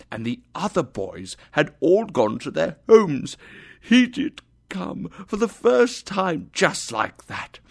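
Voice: medium pitch, vocal fry, voice pushed up, exaggerated voice. Casting: narrator playing an old man in a storybook, full of feeling as he looks back on his life. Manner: emotional, exclaiming. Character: miserly old man moved to emotion by memories.

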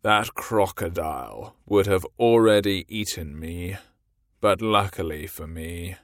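A man voicing a scheming, menacing pirate captain in a storybook reading, speaking low and slow. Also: dry recording, clean studio sound. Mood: brooding. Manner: slow, low, brooding delivery.

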